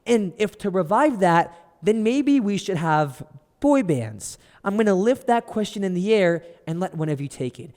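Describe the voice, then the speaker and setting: Upbeat young man hosting, slightly raspy. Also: sits high, very animated, normal speed, a little mellow, in a large hall. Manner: playful, upbeat host patter. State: slightly raspy from throat irritation. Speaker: podcast host. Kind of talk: casual podcast chat.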